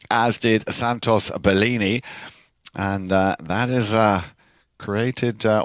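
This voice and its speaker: A man giving measured play-by-play commentary on a polo match, with frequent fillers. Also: fairly low pitch, no echo.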